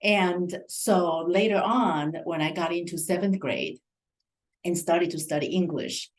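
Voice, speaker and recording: Middle-aged female panelist from Taiwan, a little low in pitch, conversational storyteller. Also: measured pace. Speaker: panelist, career advisor. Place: virtual event video call.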